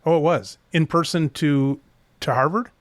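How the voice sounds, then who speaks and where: A middle-aged man hosting a podcast, asking his guest questions in a slow, drawn-out way. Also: fairly high pitch, dry recording, studio quality. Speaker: podcast host. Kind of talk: casual interview questions.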